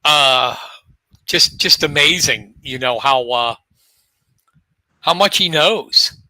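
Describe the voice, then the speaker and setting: A man co-hosting a local high school sports talk show, chatting in a relaxed way and leading the rundown of teams. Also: takes it slowly, no room sound, low-quality recording.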